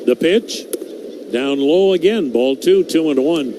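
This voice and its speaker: Unhurried radio baseball announcer, noisy feed. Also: male voice, expressive. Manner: measured, calls each pitch and count. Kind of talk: baseball play-by-play commentary. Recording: noisy broadcast audio.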